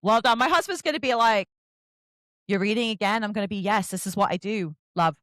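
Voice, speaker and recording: A woman's voice: mid-range voice, brisk, fairly bright tone, dry recording, studio quality. A woman hosting a casual interview, chatty and effusive, often acting out little bits of dialogue. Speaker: podcast host.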